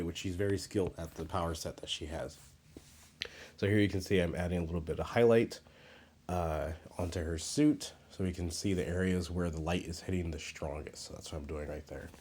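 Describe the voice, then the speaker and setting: A man's voice: deep voice, a little mellow. A man talking casually over his drawing, his voice a little scratchy as he gets over being sick, rambling with many fillers and restarts. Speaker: artist narrating his drawing process.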